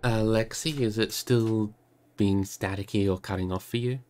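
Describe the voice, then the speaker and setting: A man with a creaky voice, hosting a livestream where he chats casually and reads a book aloud expressively. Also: fairly low pitch, fairly even intonation, moderate pace, darkish tone. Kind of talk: casual livestream chat and expressive storybook narration.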